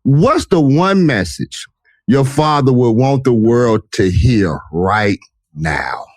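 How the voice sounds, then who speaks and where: A man hosting an interview podcast in an energetic, motivational manner with casual slang. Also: mid-range voice, takes it slowly, dry recording. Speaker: podcast host.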